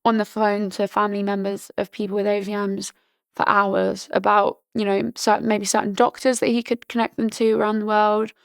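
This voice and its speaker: Young woman from Britain, early twenties, casual filler-heavy chat, little pitch movement, dry close sound, a bit hoarse. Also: little pitch movement, normal speed, no echo. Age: early 20s. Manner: chatty, many "like" fillers and restarts. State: slightly hoarse, losing her voice. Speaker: podcast guest, charity founder.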